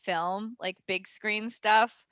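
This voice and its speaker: A woman speaking in an animated, emphatic way as a guest acting teacher, answering casually in an interview. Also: mid-range voice, takes it slowly, no room sound, studio quality.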